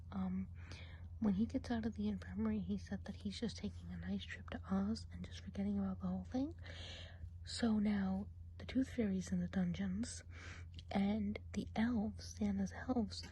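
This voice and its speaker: A woman playing a friendly, gossipy witch of the woods in a hushed, chatty ASMR role play, in a soft breathy whisper. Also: mid-range voice, low-quality recording.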